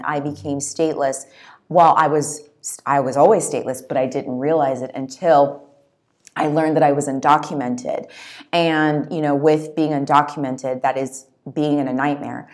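A low-pitched woman guest on an interview podcast, talking earnestly and reflectively about her own story. She was born in Zambia and speaks in a thoughtful, conversational way, often adding "you know" and "right?". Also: a little mellow. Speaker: author guest.

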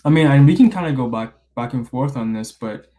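Young guy from the bahamas hosting a podcast, mellow warm tone, laid back, lots of "you know". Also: medium pitch, brisk. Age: 20s. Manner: relaxed, many fillers like you know and like. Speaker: podcast host, college senior. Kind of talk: casual podcast interview.

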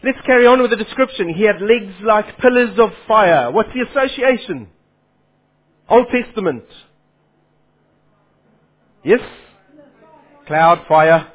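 A man's voice: sits high, animated, measured pace, pauses often. A man preaching a Bible sermon, his Afrikaans, who poses questions to his listeners and then answers them. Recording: phone-like lo-fi sound.

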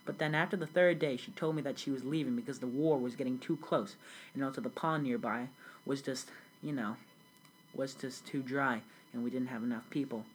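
A woman's voice: rumbling low, a little fast, slight background noise, rough recording. A teenage girl playing a grown immigrant recalling a childhood in South Sudan, telling her story earnestly in a scripted school interview. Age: young teen. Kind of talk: school project role-play interview.

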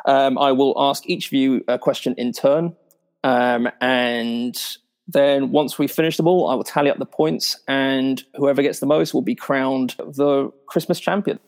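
A man's voice: mid-range voice, fairly even intonation, a little slow. Joking man hosting a quiz. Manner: joking, reads introductions with fillers. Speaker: podcast quiz host.

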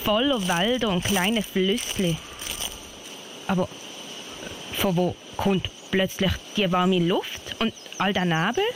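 A woman's voice: mid-range voice, slow, pauses often, background noise, close to an everyday voice. A woman playing a puzzled, plucky young heroine who hesitates and thinks aloud in an amateur radio play over music. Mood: curious, bewildered.

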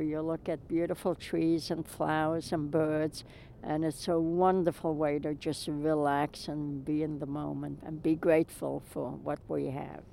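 A woman, calmly and reflectively answering interview questions about birdwatching outdoors. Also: low-pitched, gravelly, a little hiss or hum, rough recording.

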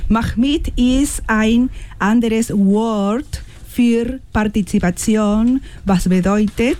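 A woman's voice: a little high, mellow. A woman hosting a radio programme, presenting partly from a script and speaking in an encouraging manner. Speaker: radio host and workshop leader.

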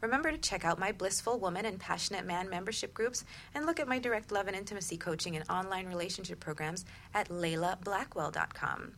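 Casting: middle-aged female radio host, very bright, forward voice, warm talk-show delivery, faint rumble behind. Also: fast, few pauses.

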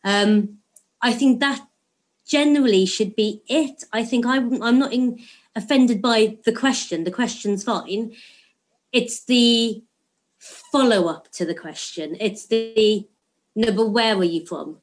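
A woman from London answers a question at a community discussion, thinking aloud and acting out snatches of dialogue. Her voice sits a little high. She speaks at an easy, conversational pace. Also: takes it slowly. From England, London.

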